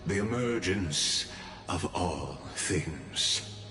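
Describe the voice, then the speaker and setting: An actor playing a theatrical, erudite storyteller in a game, speaking in a grand, measured, playful way. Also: male voice, sits low, expressive, sharp tone, full character voice. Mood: musing, playful. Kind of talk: scripted game dialogue. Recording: echoing game audio under music.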